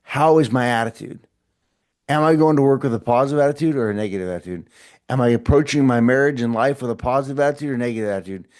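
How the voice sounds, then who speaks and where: A man's voice: mid-range voice, quick pace, a little mellow. A middle-aged man hosting a short motivational podcast, talking earnestly and encouragingly with frequent repeats and restarts. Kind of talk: casual motivational talk to camera.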